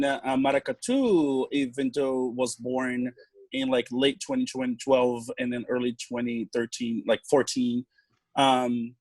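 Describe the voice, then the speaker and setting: Halting Brazilian man telling his story. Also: medium pitch, no room sound. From Salvador, Bahia, Brazil. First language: Portuguese. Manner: halting, with pauses and repeated words. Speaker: panel guest, drummer in community bands. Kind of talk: unscripted personal storytelling on a panel.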